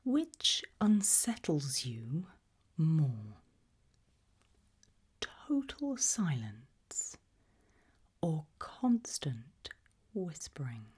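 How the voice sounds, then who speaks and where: Slow, breathy whispering woman. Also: sits low, big pitch swings. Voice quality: soft breathy whisper, mostly unvoiced. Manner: slow, deliberate, gently ominous interviewer. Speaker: ASMR roleplay host. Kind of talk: ASMR roleplay, whispered questionnaire.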